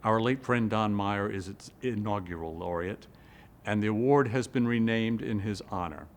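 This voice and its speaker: A man reading a formal, scripted introduction as an award presenter. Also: normal speed. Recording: faint low rumble.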